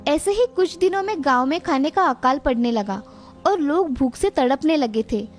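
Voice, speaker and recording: A woman narrates a scripted children's moral tale. Her voice is high, with soft music playing behind it. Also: expressive, moderate pace.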